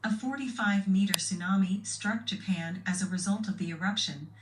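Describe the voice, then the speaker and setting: A woman's voice: mid-range voice, normal speed. A woman anchoring a scripted breaking news report. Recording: noisy, echoey playback from far off.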